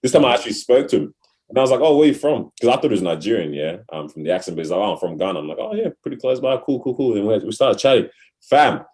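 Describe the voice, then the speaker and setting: A man's voice: fairly low pitch, big pitch swings, racing. A young man with an Australian accent, telling a story in casual podcast chat, full of slang. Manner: slangy, laughs loudly, storytelling. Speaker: podcast co-host.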